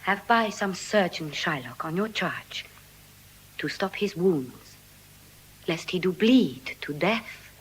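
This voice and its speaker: Classical court drama: composed shrewd young advocate, formal, unhurried, lively rise and fall. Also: female voice, lowish voice, a little hiss or hum, rough recording. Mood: solemn, restrained. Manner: measured, deliberate, formal. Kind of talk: classical Shakespearean stage acting.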